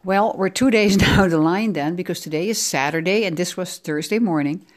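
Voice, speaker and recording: A middle-aged Dutch woman talks through her solo podcast in a rambling, chatty flow, stopping to correct herself. Her voice sits a little low for a woman. She sounds sore, nursing aching ribs. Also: moderate pace. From Netherlands. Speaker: podcast host. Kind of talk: casual solo podcast monologue.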